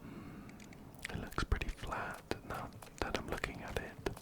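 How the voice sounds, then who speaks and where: A man whispering softly and breathily into a close binaural mic as he hosts an ASMR game playthrough, unhurried and often repeating words and phrases. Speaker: ASMR video host. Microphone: close-up binaural mic, ear to ear.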